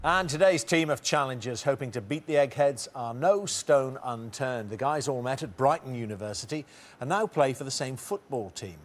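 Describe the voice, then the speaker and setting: A middle-aged man hosting a TV quiz in a studio, reading questions briskly and teasing the contestants. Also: mid-range voice. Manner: brisk, teasing, reads multiple-choice questions. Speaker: quiz show host.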